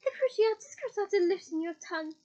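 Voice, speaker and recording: A woman doing a shrill, gloating witch official. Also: lively intonation, brisk, dark tone, highs cut off. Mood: menacing, wheedling. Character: cold sadistic middle-aged female witch official.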